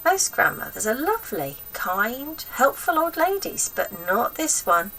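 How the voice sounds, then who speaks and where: A woman reading a children's story aloud as an expressive narrator. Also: high voice, a little slow, distant mic, a little hiss or hum, low-quality recording. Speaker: storybook reader.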